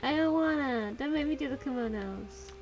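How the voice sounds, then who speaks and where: A woman's voice: high-pitched, moderate pace, over music. A woman streaming a game, joking and goofy in casual let's play commentary. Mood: playful. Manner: goofy, joking, sings snippets.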